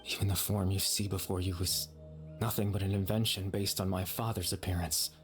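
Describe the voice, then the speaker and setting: An actor playing the gentle, regretful lingering consciousness of a dead young man in a video game, soft-spoken and measured. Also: sits low, little pitch movement, over music, close to an everyday voice. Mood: wistful, regretful.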